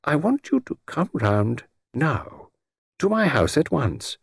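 A male narrator plays an older gentleman of great courtesy from a storybook. The voice sits well above natural talk and rises and falls freely. The manner is eager, fussy and a little hesitant, in a very dry recording. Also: on the high side, huge pitch swings, slow, vocal fry, husky, no room sound, pitched above the performer's own voice, heavily acted. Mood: gracious, eager. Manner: courtly, hesitant, old-fashioned politeness.

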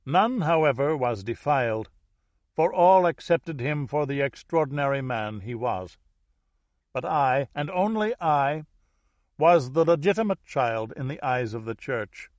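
A commanding, cultured Dutch heir acted out by a male audiobook reader, formal and self-assured. His middle-pitched voice moves deliberately through each phrase. The recording is very dry, with no room around it. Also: animated, dry recording, lightly acted. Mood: proud.

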